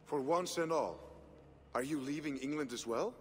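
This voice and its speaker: A man's voice: on the high side, normal speed, big-room sound, a little hiss or hum, natural voice. A man playing an earnest Viking leader. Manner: earnest, welcoming. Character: Viking leader planning an aid voyage, warm host. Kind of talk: video game cutscene acting.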